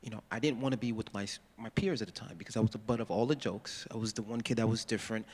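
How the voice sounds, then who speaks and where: A man's voice: lowish voice, fast, darkish tone. Earnest man from Ghana in a reverberant hall. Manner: earnest storytelling, quotes mentors in a firm voice. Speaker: panelist, musician and volunteer mentor. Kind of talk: live panel discussion. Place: large hall. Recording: long hall reverb from a live panel.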